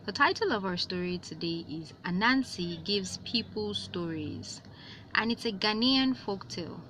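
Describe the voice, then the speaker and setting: A woman reading a story aloud as host, with animated delivery and dramatic, drawn-out emphasis on words. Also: lowish voice, slight background noise, poor audio. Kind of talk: expressive read-aloud storytelling.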